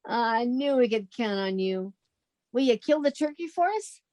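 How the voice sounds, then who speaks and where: A woman's voice: fairly high pitch, expressive, measured pace, mellow. A woman playing a sensible, wisecracking, exasperated young roommate in a 1940s-style radio comedy. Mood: firm, exasperated.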